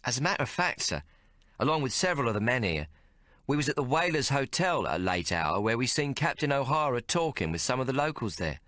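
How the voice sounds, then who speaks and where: A man voicing a deferential whaler who speaks in rough dialect, adding "sir". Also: medium pitch, big pitch swings, brisk, fairly bright tone, dry recording, studio quality, pitched above the performer's own voice.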